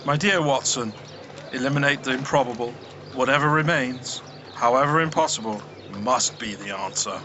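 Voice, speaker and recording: A man acting a gentlemanly, cerebral Victorian detective with a formal, precise, courtly manner in a radio drama. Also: medium pitch, normal speed, muffled recording, background noise, lightly acted. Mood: proud, intrigued.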